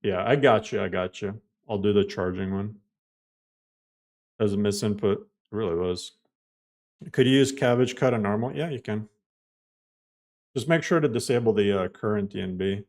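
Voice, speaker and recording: A man's voice: fairly low pitch, moderate pace, long pauses, a little mellow. A man live-streaming a game, chatting loosely with viewers and walking them through steps, with lots of "like" fillers. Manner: explains things step by step to viewers. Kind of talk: casual live-stream chat while playing.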